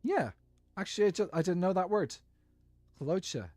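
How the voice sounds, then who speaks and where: A man's voice: sits high, expressive, moderate pace, darkish tone, no echo. A man giving easygoing, musing commentary while he plays a game as a streamer. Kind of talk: casual gaming commentary.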